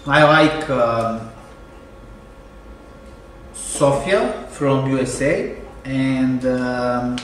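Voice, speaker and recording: Slow, hesitant man in an echoey room. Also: mid-range voice, distant mic, a little hiss or hum. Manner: hesitant, full of ums. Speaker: fashion company boss in a film. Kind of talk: amateur film dialogue. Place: office, indoors.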